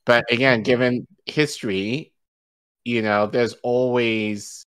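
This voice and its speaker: An American man in his forties giving casual political commentary as a co-host, halting, colloquial and profane. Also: mid-range voice, fairly even intonation, fairly bright tone, clear, resonant tone, low-quality recording. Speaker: news show co-host. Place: indoor room at home, video stream.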